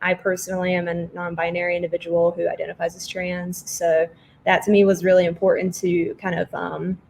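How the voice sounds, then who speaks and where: A woman's voice: fairly low pitch, monotone, a little fast, creaky, darkish tone, slight background noise. A woman hosting an online artists' panel, introducing guests in a conversational way over a video call.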